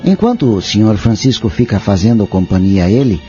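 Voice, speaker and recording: An actor playing a respectful, practical adult son with a Brazilian accent in a radio drama, polite and formal. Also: male voice, fairly low pitch, sing-song, fairly quick, warm tone, soft background music. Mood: businesslike. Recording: dull lo-fi audio drama recording.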